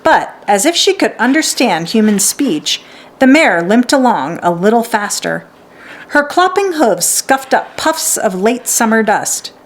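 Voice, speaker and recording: A woman reading a children's story aloud as scripted, expressive narration. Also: fairly high pitch, measured pace.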